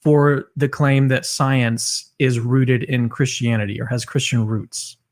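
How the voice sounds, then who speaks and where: A man's voice: mid-range voice, steady tone, slow. A man interviewing an author, asking a loose, informal question with restarts.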